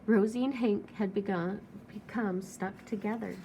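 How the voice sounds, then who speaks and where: A woman's voice: medium pitch, steady tone, unhurried, mellow. Woman reading a storybook, noisy background. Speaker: children's storybook reader. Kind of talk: expressive read-aloud narration.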